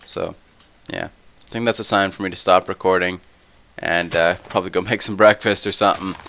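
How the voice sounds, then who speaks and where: Young man, somewhat deep voice, gravelly, tired, rambling game commentary. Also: fairly even intonation, fairly quick, sharp tone. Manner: rambling, ranting, full of tangents. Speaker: let's play host.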